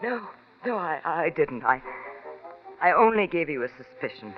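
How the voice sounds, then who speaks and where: A woman's voice: low voice, sing-song, moderate pace. A woman acting a proud, emotional wealthy lady in a 1940s-style radio mystery, earnest and insistent. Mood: flustered, defensive. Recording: dull vintage radio recording, faint music.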